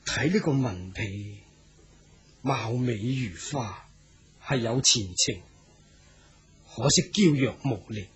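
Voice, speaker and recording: An actor playing a courteous, high-minded young gentleman wanderer in a period radio drama, speaking Hong Kong Cantonese with formal humility. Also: lo-fi sound. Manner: formal, humble, archaic courtesy.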